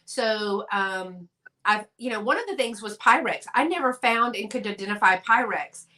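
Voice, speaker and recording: A woman, a guest on a casual live chat, talking with rambling enthusiasm in quick bursts over a video call. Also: medium pitch, pushed and bright.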